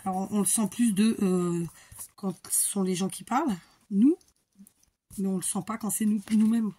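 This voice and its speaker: A woman's voice: mid-range voice, moderate pace, dark tone, breathy edge. A middle-aged woman from the Paris region, chatting casually and rambling through a crafting tutorial. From Paris region, France. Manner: chatty and rambling, many fillers and restarts.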